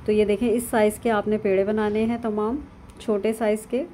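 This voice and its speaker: A woman, calmly narrating a cooking lesson step by step over video of her hands at work. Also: medium pitch, moderate pace, slight background noise. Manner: calm, instructive, with frequent fillers. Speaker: cooking channel host. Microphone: voice-over over hands-only video.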